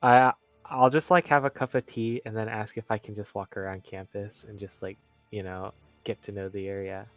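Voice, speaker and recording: A man playing a tabletop roleplay game, speaking casually in a tentative, halting way. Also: fairly low pitch, flat delivery. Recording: dry sound with music underneath. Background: music.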